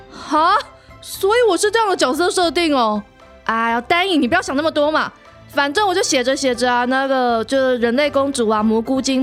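A woman with a Taiwanese Mandarin accent chats casually and playfully as a podcast host explaining her storytelling. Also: high voice. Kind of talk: casual chat.

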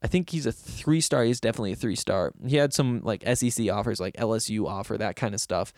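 A young man hosting a sports podcast, rambling casually with lots of fillers, his voice a bit raspy and hoarse from yelling. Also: lowish voice, fast, no echo, clean studio sound. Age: young adult. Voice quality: slightly raspy. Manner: loose, self-deprecating, meandering with fillers.

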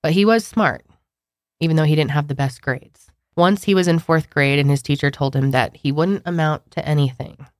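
A woman's voice: sits low, normal speed, no room sound, clean studio sound. A young woman co-hosting a podcast, chatting and narrating a story, nasal with a scratchy throat from a cold. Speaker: podcast co-host. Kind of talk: casual chat and narration.

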